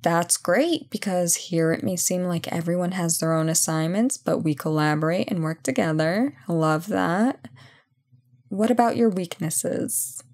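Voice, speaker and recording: A woman playing a brisk, playful company boss in an audio roleplay job interview, chatty and full of wry asides. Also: lowish voice, a little mellow. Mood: wry, friendly. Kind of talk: ASMR roleplay, one-sided conversation.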